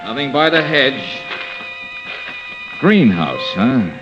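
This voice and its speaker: An actor playing a pushy, wisecracking amateur sleuth, slangy and needling in 1950s radio drama style. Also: male voice, medium pitch, big pitch swings, takes it slowly, music in the background. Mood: needling, dogged. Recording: dull vintage radio recording, faint noise.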